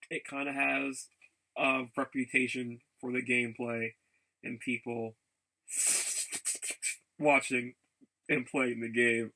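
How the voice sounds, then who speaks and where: A man with a bright, forceful voice and a lot of gravelly fry chats as a casual vlogger. He is keen and rambling, often stopping to repeat himself or change course mid-sentence. His pitch sits in an ordinary male range. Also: poor audio. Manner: halting, repeats words and backtracks often. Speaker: vlogger showing game and figure pickups. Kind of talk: casual rambling vlog chat.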